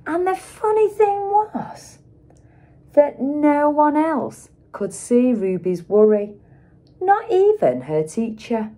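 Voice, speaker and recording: A middle-aged woman reads a children's picture book aloud like a teacher, with dramatic emphasis and pauses for young listeners. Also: sits high, very animated, dark tone. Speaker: schoolteacher reading aloud.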